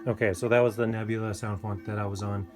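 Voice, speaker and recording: A man giving a casual hands-on gadget review, speaking informally and hesitantly with pauses mid-thought. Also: lowish voice, level tone, over music. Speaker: product reviewer. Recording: clear background noise with clicks.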